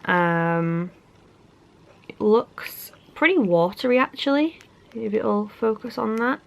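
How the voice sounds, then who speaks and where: A woman's voice: mid-range voice, slow pace, gravelly. Young woman with a congested cold voice. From England. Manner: chatty, lots of fillers and likes. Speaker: beauty vlogger. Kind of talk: casual unboxing chat.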